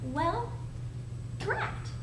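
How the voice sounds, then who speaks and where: Woman playing a high, bossy storybook witch. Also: huge pitch swings, full character voice. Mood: exclaiming. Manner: emphatic, singsong, drawn-out words. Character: bossy possessive witch, grumbling over her pumpkin. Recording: roomy, distant mic, clear background noise.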